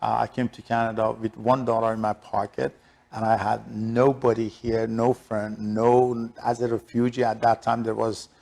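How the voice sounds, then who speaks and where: Middle aged iranian guy, persian accent, gravelly creaky voice, answering interview questions. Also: on the low side, flat delivery, moderate pace, a little mellow. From Shiraz, southern Iran. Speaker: interview guest, real estate broker.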